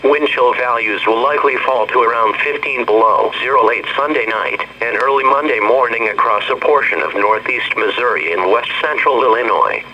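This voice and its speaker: A man's voice: mid-range voice, moderate pace, hardly any pauses, bright, forceful tone. A synthetic male voice with an American accent reads a scripted weather forecast as a radio announcer, in formal, clipped phrases. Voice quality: synthetic text-to-speech voice. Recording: dull, noisy weather radio broadcast.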